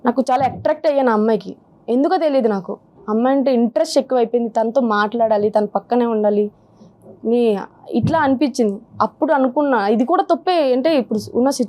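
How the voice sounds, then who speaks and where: Young woman, high pitch, soft mellow tone, hesitant and earnest, interview guest. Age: 20s. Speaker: guest sharing her problem. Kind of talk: confessional interview.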